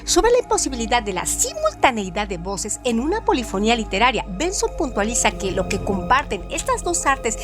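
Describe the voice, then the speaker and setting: A woman host reading a scripted trivia segment in a measured, expressive way. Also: on the high side. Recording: music playing underneath. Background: background music.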